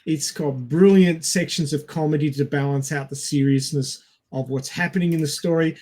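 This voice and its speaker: A man co-hosting a casual film discussion, speaking in a halting, hesitant way and stretching out words. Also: on the high side, fairly even intonation, darkish tone. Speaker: podcast co-host.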